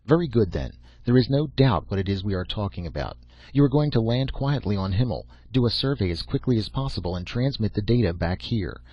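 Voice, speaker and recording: A man's voice: fairly low pitch, lively intonation, fairly quick, breathy edge, no echo. A man voicing a crusty old professor in an audiobook, lecturing bluntly and with emphasis. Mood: brisk. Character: old, crusty, commanding male professor and mentor. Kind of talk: audiobook character acting. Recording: dull lo-fi audio.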